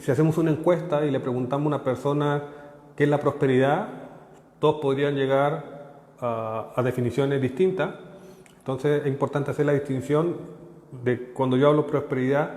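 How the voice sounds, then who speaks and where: A Chilean man giving a live workshop talk as a consultant. He speaks haltingly, repeats words and tags his phrases with checks to the audience. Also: mid-range voice, darkish tone. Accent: Chilean, partly Mexican. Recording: echoey room with long reverb.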